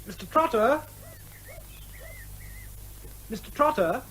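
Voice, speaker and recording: A man's voice: extremely high pitch, animated, slow, fairly bright tone, a little hiss or hum, exaggerated voice. An actor playing a polite, plain-spoken man, a major's son, in a radio comedy drama.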